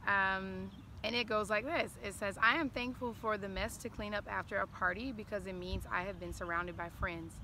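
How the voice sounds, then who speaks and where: A middle-aged woman speaks at an average pitch in an informal address, part talk and part reading. She is outdoors at close range to the camera, with clear noise behind her. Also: steady tone, moderate pace, hardly any pauses. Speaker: former school counselor, guest. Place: outdoors on an open lawn. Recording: clearly noisy outdoor recording.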